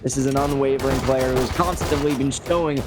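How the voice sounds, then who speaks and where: A man giving live, excitable play-by-play commentary on an esports match with dramatic calls. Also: medium pitch, brisk, background noise.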